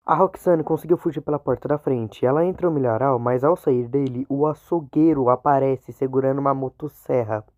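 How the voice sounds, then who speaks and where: A man's voice: mid-range voice, a little fast, few pauses. A man with a Brazilian accent narrating a horror game explainer video in an informal, conversational way. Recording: muffled, narrow phone-like sound.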